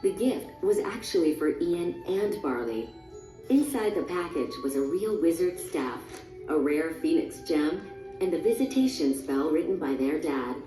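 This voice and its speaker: Mellow, lower-voiced woman storybook narrator. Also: lively intonation, unhurried, echoey room, recorded from a distance, low-quality recording. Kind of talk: scripted children's story narration. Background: music.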